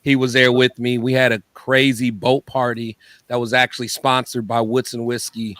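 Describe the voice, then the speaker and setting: A man co-hosting a podcast, hoarse from yelling, chatting in a laid-back, agreeable way. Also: mid-range voice, level tone, normal speed, no echo, clean studio sound. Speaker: podcast co-host. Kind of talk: casual chat.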